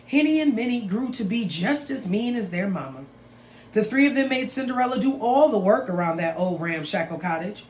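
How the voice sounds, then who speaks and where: A woman teacher reading a story aloud to students in an expressive, dramatic way, with drawn-out phrases and playful asides. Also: a little high. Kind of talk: expressive read-aloud of a picture book for students. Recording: roomy echo with some background noise.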